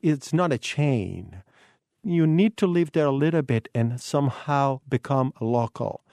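A man's voice: medium pitch, lively intonation, measured pace, gravelly, mellow, no echo, clean studio sound. Italian-accented man explaining with emphasis. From Italy. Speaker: tour guide. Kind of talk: radio guest chat.

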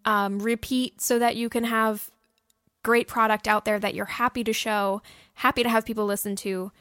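A woman's voice: a little high, little pitch movement, moderate pace, fairly bright tone, no room sound, studio quality. A young woman co-hosting a podcast, giving casual, earnest and encouraging advice. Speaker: podcast host and audio drama producer. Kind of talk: casual how-to podcast talk.

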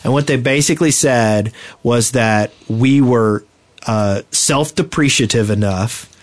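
A man's voice: medium pitch, measured pace. A man co-hosting a podcast in casual chat, rambling and poking fun at himself. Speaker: podcast co-host.

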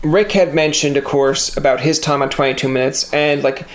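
Jokey Newfoundland podcast host. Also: male voice, fairly high pitch, fairly even intonation, normal speed. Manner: jokey, teasing, playful. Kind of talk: casual podcast interview.

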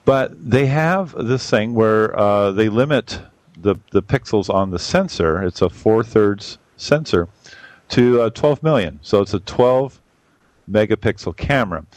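A man with a boomy voice giving a rambling, casual camera review full of fillers and restarts. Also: expressive, a little slow, darkish tone, no echo. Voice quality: boomy on a mic. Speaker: photography podcast regular reviewing cameras.

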